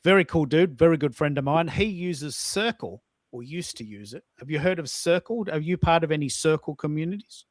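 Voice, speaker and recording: A man's voice: fairly high pitch, expressive, a little fast, hardly any pauses, no room sound, clean studio sound. A man hosting a casual live-stream chat, informal, rambling and blunt in his way of talking. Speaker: host, social media coach.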